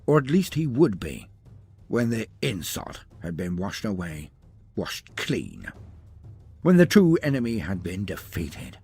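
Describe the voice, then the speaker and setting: A man's voice: low-pitched, wildly swooping pitch, measured pace, darkish tone, husky, faint music behind. A man reading scripted fantasy narration as a storyteller, theatrical and emphatic, in short weighted phrases.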